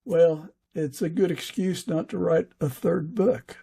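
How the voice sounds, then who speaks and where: A man hosting a podcast, chatting casually with a guest and pausing often mid-sentence. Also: fairly high pitch, creaky, dark tone, breathy edge. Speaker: podcast host; fiction author. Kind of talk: casual interview chat.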